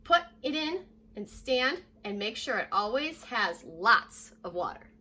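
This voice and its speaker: A young American woman reading a children's story aloud like a teacher, addressing young listeners directly in a lively way. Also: fairly high pitch, unhurried. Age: young adult. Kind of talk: children's read-aloud with short asides. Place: living room at home, indoors.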